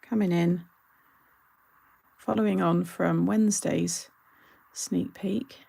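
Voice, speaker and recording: A woman's voice: on the low side, fairly even intonation, slow pace, creaky, dark tone, breathy edge. A middle-aged woman hosting a craft channel, chatting casually and rambling through asides with frequent laughter. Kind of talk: casual live chat.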